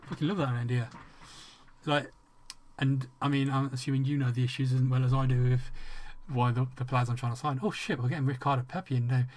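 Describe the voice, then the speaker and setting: An English-accented man streaming a game and talking straight to his viewers. He rambles along, trips over his own starts and drops swear words freely, at a middling pitch for a man. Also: steady tone, a little fast, darkish tone. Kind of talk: casual livestream chat with viewers.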